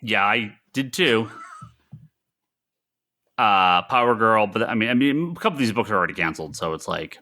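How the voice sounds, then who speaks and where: A man's voice: lowish voice, lively intonation, normal speed, pauses often, pushed and bright. A man co-hosting a casual podcast, talking in an exasperated, emphatic way, repeating himself and swearing. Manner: exasperated, emphatic, repetitive ranting with profanity. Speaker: podcast co-host. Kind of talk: casual comics podcast banter.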